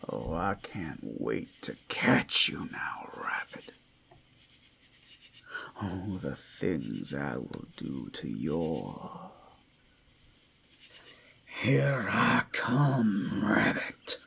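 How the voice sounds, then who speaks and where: A man playing a predatory male werewolf in an ASMR roleplay, speaking in a gravelly, breathy, menacing whisper as he savors the hunt. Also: sits low, sing-song, extremely slow, pauses often, fairly bright tone, a little hiss or hum, exaggerated voice. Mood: hungry, menacing.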